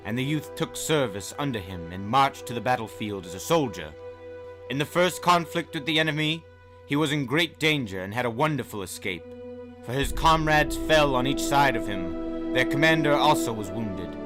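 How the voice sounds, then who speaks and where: A man hosting and narrating old tales in a dramatic storybook style, with emphatic, deliberate phrasing. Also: medium pitch. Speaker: podcast host and story reader. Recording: music under the voice. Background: music.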